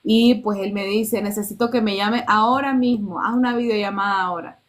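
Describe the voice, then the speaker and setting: A woman's voice: medium pitch, fairly even intonation, brisk. A Nicaraguan woman in her thirties, telling a personal testimony in an earnest way and acting out the dialogue she quotes. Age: 30s. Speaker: guest.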